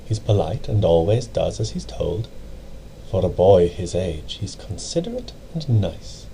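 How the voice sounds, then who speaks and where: A man reading a poem as dramatic narration, with a theatrical, deliberate and brooding delivery. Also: sits low, very animated, vocal fry, mellow, slight background noise, poor audio. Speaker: amateur reader.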